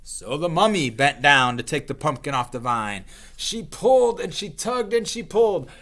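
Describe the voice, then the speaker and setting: A man reading a storybook aloud to young children as their teacher, expressively stretching words and pausing to explain. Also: on the high side, very animated, fairly bright tone.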